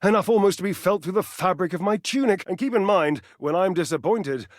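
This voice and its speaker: Flamboyant, high-voiced vain male diva played by a man. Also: no echo, studio quality, natural voice. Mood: vain, flirtatious. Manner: grandiose, flirtatious, melodramatic delivery with dramatic pauses. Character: vain, theatrical, lovesick male washing machine, flamboyant and petulant. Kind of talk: video game character voice acting.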